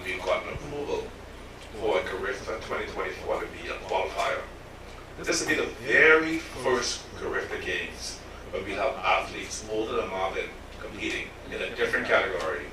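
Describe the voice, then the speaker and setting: A man, a sports official explaining plans in a measured way at a press conference. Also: sits low. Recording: echoey room, distant mic, background noise.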